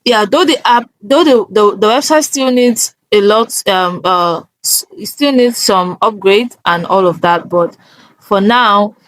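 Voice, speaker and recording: A woman talks through a casual screen tutorial at an unhurried, slow pace. Her voice sits at a middle pitch and sounds dry and close, and she guides step by step, often checking in. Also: dry recording, rough recording. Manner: instructive, often checking in with "okay?". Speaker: tutorial presenter.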